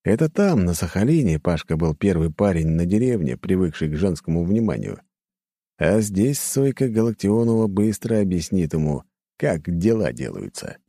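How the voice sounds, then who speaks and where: Male narrator for audiobook fiction: low, velvety voice, close dry recording, scripted first-person storytelling. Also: big pitch swings, normal speed, warm tone, no room sound.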